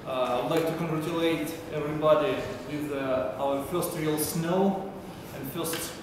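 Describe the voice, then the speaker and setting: A man in his thirties hosting a club meeting, speaking haltingly with many fillers. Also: fairly high pitch, fairly even intonation, noisy background. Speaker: meeting host. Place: large indoor meeting room. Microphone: far camera. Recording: echoey room from far off.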